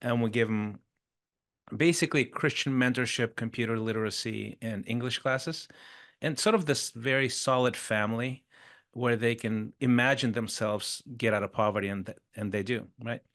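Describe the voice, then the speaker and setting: A man's voice: medium pitch, normal speed, fairly bright tone. A middle-aged man from Chile, a podcast guest telling his life story in a conversational way, often adding "sort of" and "right?". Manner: frequent "sort of" and "right?" tags, brief restarts. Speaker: podcast guest, performance coach.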